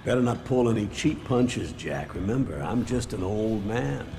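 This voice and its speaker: A man playing a principled, gruff older police officer and father in a video game drama, with an American accent and a gravelly voice. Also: lowish voice, animated, normal speed. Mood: gruff, fatherly. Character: aging veteran cop father, proud, gruff, principled.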